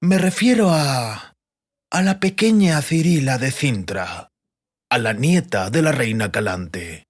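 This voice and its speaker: A man voicing an oily, insinuating stranger in an audiobook, speaking slowly with drawn-out, false courtesy. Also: medium pitch, expressive, slightly rough, pitched above the performer's own voice. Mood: probing, courteous. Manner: slow, drawn-out, deliberately polite. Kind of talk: audiobook character voice.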